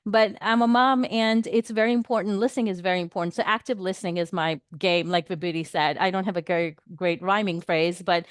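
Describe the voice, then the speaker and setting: A woman from New York, a pharmacist running for a board seat, speaking on a video call in a chatty, energetic way with many fillers and restarts. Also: mid-range voice, smooth, dry recording, studio quality. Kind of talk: online meeting speech.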